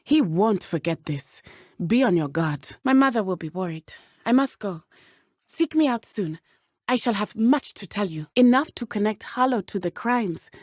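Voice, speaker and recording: Woman playing brave teen witch girl from a video game, lively pitch, careful formal wording, dry close sound. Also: no room sound, lightly acted. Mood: earnest, concerned. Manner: precise, measured, formal diction. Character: earnest, brave teenage schoolgirl witch from Uganda. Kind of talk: video game character dialogue.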